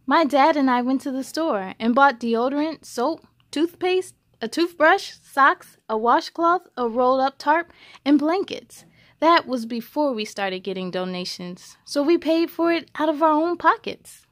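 Casting: young adult woman, high voice, children's storyteller, expressive and encouraging read-aloud delivery. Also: a little slow.

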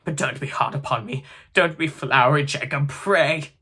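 A man's voice: mid-range voice, normal speed, pushed and bright, slightly rough, heavily acted. A man playing an uneasy, businesslike old miser who speaks hesitantly and deferentially in a storybook reading. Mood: pleading.

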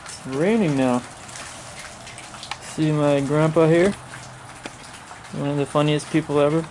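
A man's voice: on the high side, fairly even intonation, unhurried, a little mellow, slight background noise, low-quality recording. A young man vlogging with a handheld camera on a covered back porch, joking and teasing his grandpa and laughing often. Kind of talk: casual vlog chat.

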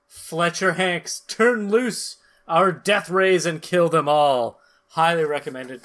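A man's voice: fairly high pitch, slow, fairly bright tone. A man, perhaps in his thirties, hosting a podcast with casual, rambling, jokey chat. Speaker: podcast host and narrator.

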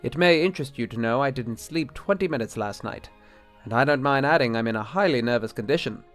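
A man's voice: medium pitch, lively intonation, a little fast, fairly bright tone, faint music behind, lightly acted. Man acting a flustered, skeptical bishop. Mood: harried. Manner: flustered, hesitant, formal. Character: anxious, exasperated, skeptical married male bishop. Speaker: actor. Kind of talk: radio-play style drama acting.

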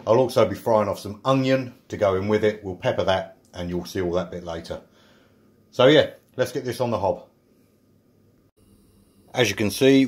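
Male home cook, lowish voice, easygoing self-mocking explainer, filmed in a small kitchen. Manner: chatty, self-mocking, explains each step. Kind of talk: casual cooking narration.

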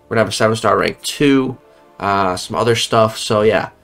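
A young man talks through a mobile game in a casual, rambling way, often restarting his sentences. His voice sits a little low and sounds slightly off from being ill. He is recorded at home on a headset mic. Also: moderate pace, gravelly, a bit bright, faint music behind. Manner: chatty, rambling, self-correcting. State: sick, voice a bit off. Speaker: mobile game content creator. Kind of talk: casual gameplay commentary. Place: home room, indoors.

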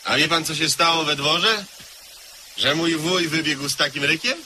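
A man's voice: fairly high pitch, moderate pace, noisy background, lightly acted. A man playing a prying young nephew. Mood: curious. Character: curious young nephew of the house. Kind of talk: radio drama acting.

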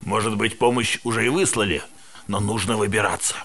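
An actor playing a wary, chatty male security guard in a game, brisk and wisecracking. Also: fairly low pitch, steady tone, a bit bright. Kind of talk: game voice acting.

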